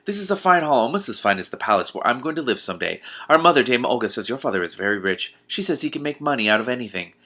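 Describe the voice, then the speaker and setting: A man's voice: medium pitch, very animated, quick pace, few pauses, bright, forceful tone, voice pushed up. A man voicing a snobbish teenage girl from a storybook, prim, condescending and boastful. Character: snobbish, boastful older sister, a girl in her teens.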